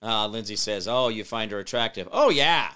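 A man's voice: on the low side, expressive, normal speed, sharp tone, clean tone. A man hosting an online political commentary show, rambling and scornful, trailing off and restarting, reading viewer comments aloud and replying to them. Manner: stumbles over names and repeats them to get them right. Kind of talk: unscripted political commentary monologue.

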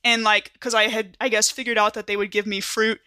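Woman acting an easygoing young man, bright forward voice, dry close sound, slangy, rambling, naive wonder, tabletop roleplay. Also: mid-range voice, flat delivery, a little fast, clear, resonant tone, no room sound, close to an everyday voice. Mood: rambling, cheerful. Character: easygoing, wide-eyed, eagerly amazed young man.

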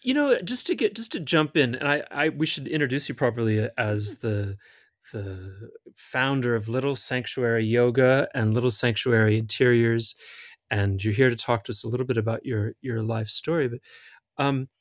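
A man's voice: mid-range voice, moderate pace, no echo, studio quality. An American man hosting a casual interview, teasing his guest with jokes and rambling questions. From United States. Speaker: podcast host.

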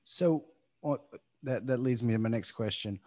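A man hosting a podcast, talking casually in a halting way with many restarts. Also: mid-range voice, darkish tone, no echo. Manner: halting, many repeated starts. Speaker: podcast host. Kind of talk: casual interview conversation.

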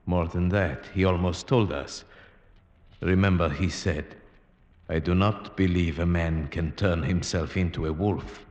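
Grave, low-voiced radio drama storyteller. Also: male voice, fairly even intonation, unhurried, darkish tone, no room sound, lo-fi sound. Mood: grave, measured. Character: grave male narrator recalling a werewolf hunt. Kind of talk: 1950s radio drama acting. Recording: old radio recording with music behind.

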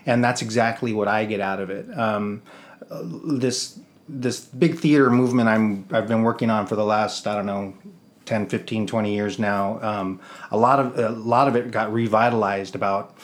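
A man's voice: fairly low pitch, fairly even intonation, normal speed. A middle-aged man with an American accent, talking as an interview guest in a casual, rambling storytelling way. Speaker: interview guest, theater producer and actor.

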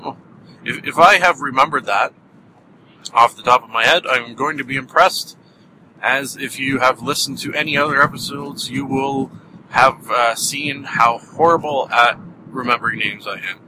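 A man's voice: mid-range voice, normal speed, noisy background. A man with a Canadian accent hosting a casual solo podcast, rambling with many fillers and small chuckles. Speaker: podcast host.

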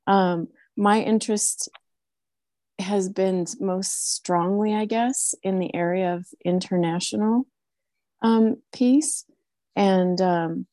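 A woman introducing her interests to a group meeting, halting often with fillers and restarts. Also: lowish voice, mellow, dry recording. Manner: hesitant, many fillers and restarts. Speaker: meeting participant. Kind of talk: casual video-meeting introduction.

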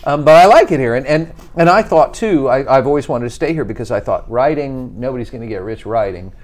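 A middle-aged wine writer, chatting as a guest and telling wry, animated stories. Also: male voice, medium pitch, fairly quick. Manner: animated storyteller, wry, mimics voices in quotes. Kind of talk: casual interview chat.

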